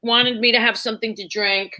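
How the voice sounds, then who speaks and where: A woman's voice: a little high, fairly even intonation, fairly quick, pushed and bright. A woman telling stories conversationally, candid and emphatic, acting out bits of dialogue as a comedian guest. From New York, United States. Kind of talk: animated conversational storytelling.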